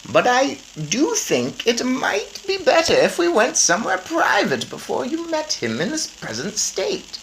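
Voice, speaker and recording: A woman voicing a calm, firm old grandmother who speaks slowly and deliberately. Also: sits high, creaky, slight background noise, voice pushed up, exaggerated voice, poor audio. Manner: slow and deliberate.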